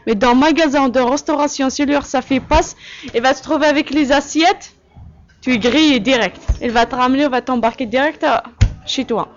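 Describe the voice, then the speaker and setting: A young woman from Kabylie in Algeria, answering interview questions in a halting, plain-spoken way with frequent fillers. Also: high voice, slight background noise. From Algeria (Kabylie, Tizi Ouzou). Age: young adult. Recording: muffled lo-fi recording.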